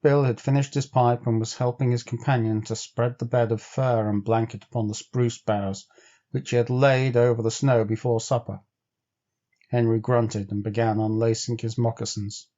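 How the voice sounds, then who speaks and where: A man reading a dramatic adventure story as a measured, theatrical storyteller. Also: mid-range voice, level tone, mellow, lo-fi sound. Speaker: storyteller, actor. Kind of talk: dramatic audiobook narration.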